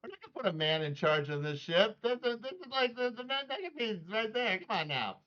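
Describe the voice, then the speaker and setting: A man with a high, very lively and bright voice guides players through a board game in an excitable, playful way. His audio sounds dull and lo-fi. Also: fairly quick. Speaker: game teacher.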